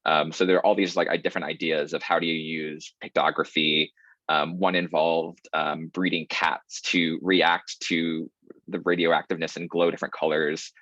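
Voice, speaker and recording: A man's voice: a bass voice, level tone, moderate pace, fairly bright tone, dry recording. A man speaking as a panelist on a video call, enthusiastic and rambling. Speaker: panelist, senior associate. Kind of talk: casual panel talk. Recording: dull dry video call audio.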